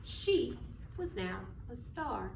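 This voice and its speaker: A high-voiced woman reads a children's story aloud in a very lively, expressive way. She phrases things dramatically and asks her listeners questions. The sound is roomy and distant from the mic, with faint noise. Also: slow pace, creaky. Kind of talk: expressive children's picture book read-aloud.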